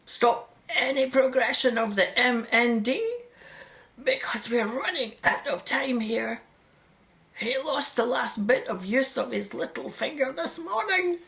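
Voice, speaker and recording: A woman's voice: high voice, unhurried, gravelly, fairly bright tone, heavily acted. A woman acting in a radio drama as an anxious, devoted mother, speaking with tender, emotional care.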